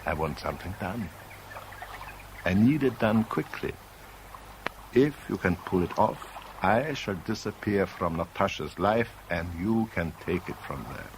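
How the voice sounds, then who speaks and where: Suave radio-thriller villain: lowish voice, arrogant, calculating, urbane condescension, faint broadcast hiss. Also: male voice, lively intonation, a little slow. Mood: coolly persuasive. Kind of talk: 1970s radio drama acting. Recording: hissy 1970s radio broadcast recording.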